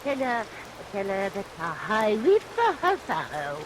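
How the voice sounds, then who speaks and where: Vintage radio villainess: anxious, scheming shopkeeper, theatrical swooping lines, hissy rainy recording. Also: female voice, mid-range voice, wildly swooping pitch, takes it slowly. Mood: alarmed. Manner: theatrical, swooping, fretful delivery. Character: scheming female pie seller, conscience-stricken, coy and anxious. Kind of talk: vintage radio play acting.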